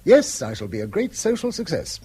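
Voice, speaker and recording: An English schoolmaster in a radio play, middle-aged and proud despite thin means. The voice is husky and a touch high for a man, rising and falling freely as he coaxes earnestly. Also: wildly swooping pitch, fairly quick, warm tone, exaggerated voice. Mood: playful, persuasive. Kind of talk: British radio drama acting.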